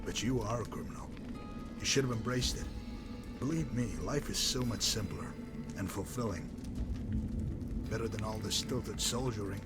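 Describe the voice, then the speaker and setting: A man playing a cold, cynical former pit fighter and gang enforcer in a video game, speaking in a calm, wry, articulate and menacing way. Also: fairly low pitch, moderate pace, pauses often, loud music behind the voice, lightly acted. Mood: mocking menace. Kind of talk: video game character dialogue.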